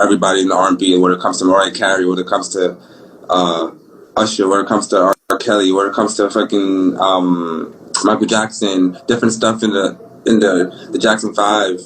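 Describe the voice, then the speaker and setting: A young man with a Brooklyn accent, an R&B singer talking about his life in a relaxed, rambling, slangy way. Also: sits low, fairly quick, a little hiss or hum, rough recording. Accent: Brooklyn New York. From Brooklyn, New York. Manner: laid-back, rambling, slangy. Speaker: singer guest. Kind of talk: casual interview talk.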